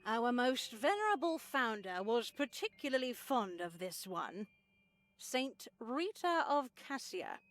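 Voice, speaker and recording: Slow, sanctimonious stern woman played by an actress. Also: a little high, sing-song. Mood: solemn, measured. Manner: measured, formal, coldly polite. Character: stern, pious, sanctimonious woman running a religious house. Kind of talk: visual novel character acting.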